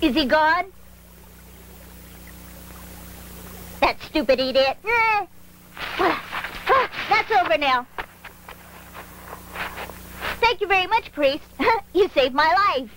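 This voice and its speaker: Very high-pitched scrappy street boy. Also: animated, a little slow, a bit bright, slight background noise, rough recording. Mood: cocky, relieved. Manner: brash, defiant, streetwise. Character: scrappy, cheeky starving street boy, a chicken thief. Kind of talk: dubbed animated film acting.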